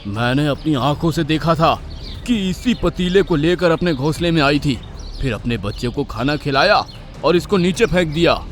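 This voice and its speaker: A man's voice: fairly high pitch, expressive, normal speed, soft background music, lightly acted. A man voicing a scheming, sweet-talking adult bird with a falsely fatherly manner in a children's cartoon. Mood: accusing. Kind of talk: Hindi children's cartoon story acting.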